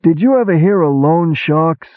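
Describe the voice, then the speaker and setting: A man doing a loud brash army boss, Big Julie. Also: fairly high pitch, normal speed, no echo, voice pushed up, close to an everyday voice. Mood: streetwise. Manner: sarcastic, excitable, shouting, streetwise gangster talk. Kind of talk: audiobook character voice. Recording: tinny phone-like sound.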